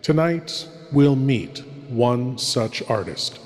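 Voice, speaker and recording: Casting: male horror host, unhurried dramatic narration with a reverb-drenched sound. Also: medium pitch, expressive. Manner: slow, hushed, theatrical. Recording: long reverb, as in a hall.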